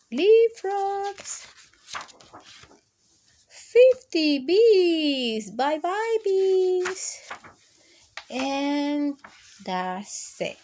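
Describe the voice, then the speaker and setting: A woman reading picture books aloud to young children, speaking expressively, drawing out words and addressing the little listeners directly. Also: high voice. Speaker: children's storytime host and reader.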